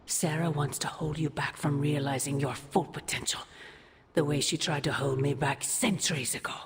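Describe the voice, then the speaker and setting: A woman's voice: deep voice, steady tone, moderate pace. An actress playing an ancient, seductive demon mother in a video game, talking in a manipulative, condescending and taunting way. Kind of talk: cinematic video game acting. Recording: long reverb, faint ambient noise.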